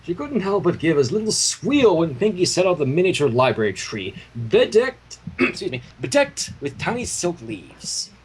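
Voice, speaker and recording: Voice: mid-range voice, sing-song, moderate pace, husky, low-quality recording. A livestream host reading a story aloud in narration, mixed with casual chat. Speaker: fanfiction reader, livestream host. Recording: faint background noise, livestream audio.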